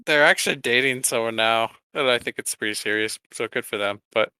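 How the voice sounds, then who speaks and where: Bright, clear-voiced male podcast co-host. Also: mid-range voice, fairly quick, no room sound, low-quality recording. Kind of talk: casual banter.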